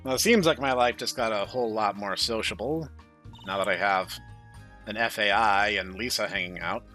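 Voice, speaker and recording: A man hosting a gaming playthrough, reading visual novel dialogue aloud in an expressive way. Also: lowish voice, normal speed, faint music behind. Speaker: gaming channel host.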